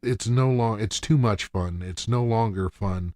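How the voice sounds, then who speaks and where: A man's voice: lowish voice, a little slow, darkish tone, no room sound, studio quality. A man co-hosting a podcast, recapping a TV episode in casual conversation. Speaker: podcast co-host.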